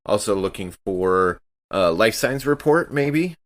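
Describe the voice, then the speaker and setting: A man with a low, deep voice takes part in a tabletop game as a player. He talks casually and informally, improvising his moves as he goes. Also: expressive, unhurried.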